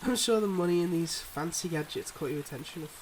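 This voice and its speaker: A suave, secretive mob boss played by a man talks to his partner in an intimate ASMR roleplay. His voice sits a little high, with a smooth, confiding, teasing manner. Light rain noise runs behind him. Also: quick pace, natural voice, rough recording. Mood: earnest, guarded. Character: suave, secretive young male mob boss, devoted online boyfriend.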